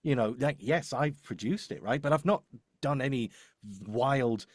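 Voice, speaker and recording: A man's voice: medium pitch, measured pace, no echo. Man coaching live, dry close sound. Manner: emphatic, repeats points and checks with "right?". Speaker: voice-over demo producer giving advice. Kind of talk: live coaching talk, unscripted.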